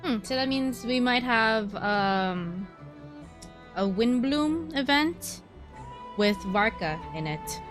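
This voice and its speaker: Girl streamer chatting over her game, takes it slow, middle pitch, music going behind her. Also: expressive. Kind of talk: casual livestream commentary.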